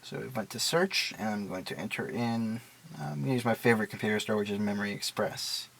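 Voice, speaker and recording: A man's voice: on the low side, fairly bright tone. A man giving a casual, unscripted product walkthrough in a matter-of-fact, explanatory way. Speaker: product reviewer and demonstrator.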